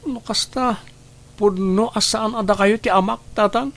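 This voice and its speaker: Casting: earnest young son, high creaky voice, gentle and forgiving, radio drama. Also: male voice, fairly even intonation, unhurried, exaggerated voice. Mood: hurt, questioning. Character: forgiving, dutiful young son raised by harsh father. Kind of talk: Ilocano radio drama acting.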